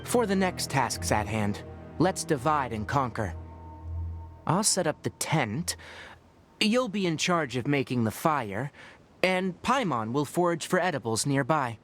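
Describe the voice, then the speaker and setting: A man voicing a patient, knowledgeable young ranger in a video game, calm and explanatory with a mildly teasing edge. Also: fairly high pitch, measured pace. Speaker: forest ranger and guide. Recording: game audio with faint music behind. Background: faint game music.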